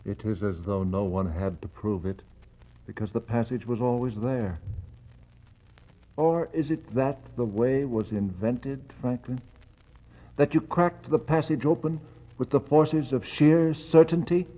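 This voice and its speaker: An actor playing a brooding, mournful explorer, speaking poetic lines gravely and rhetorically in a 1960s radio verse drama. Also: male voice, medium pitch, measured pace, dark tone, natural voice. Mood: mournful, questioning. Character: brooding male Arctic explorer, reflective and mournful. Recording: muffled 1960s radio recording.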